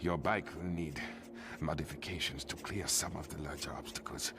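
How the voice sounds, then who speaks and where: A man playing a wounded, weary but resolute army sergeant in a video game, halting and labored, with a strained, whispery voice. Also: extremely low pitch, fairly even intonation, vocal fry, background noise. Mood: urgent, resolute. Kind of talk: dramatic video game cutscene acting. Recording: loud music behind the voice.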